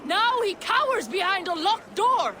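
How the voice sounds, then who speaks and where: Enraged Norse villager, high swooping voice, accusing, scornful, game cutscene. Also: big pitch swings, unhurried, pushed and bright, a little hiss or hum, full character voice. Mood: furious, accusing. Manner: scornful, threatening. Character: furious, accusing Norse villager, loyal pagan zealot. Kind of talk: video game cutscene acting.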